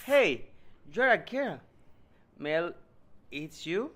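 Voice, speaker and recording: A man's voice: high voice, sing-song, drawn-out words, fairly bright tone, exaggerated voice. A man playing a naive, sincere young dating show contestant who speaks in a hesitant, simple, earnest way. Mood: surprised.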